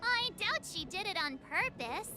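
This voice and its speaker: A woman's voice: very high voice, sing-song, unhurried, over loud music, full character voice. A woman voicing a tiny, cute childlike creature companion in a video game, eager and polite. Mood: eager, curious.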